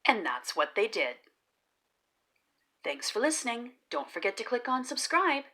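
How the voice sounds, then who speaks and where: A woman hosting a children's read-aloud, narrating the story expressively and deliberately. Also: a little high. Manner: deliberate, animated storytelling delivery.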